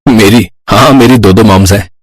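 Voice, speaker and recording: A man plays a doted-on young brother in a story cartoon. His voice is low and husky, recorded dry, and he talks in an earnest, casual, affectionate way. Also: moderate pace, no echo. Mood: friendly.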